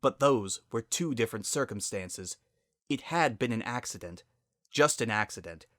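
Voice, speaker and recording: Guy reading a story dramatically, bright clear-cut voice, really dry recording. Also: medium pitch, expressive, moderate pace, dry recording. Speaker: story narrator. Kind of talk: dramatic scripted fan fiction narration.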